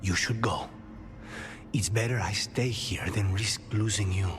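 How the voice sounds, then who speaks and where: An actor playing a tormented, resigned assassin imprisoned in his own mind in a video game, halting and defeated. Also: lowish voice, lightly acted. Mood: resigned, weary. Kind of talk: dramatic video game acting. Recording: loud music behind the voice.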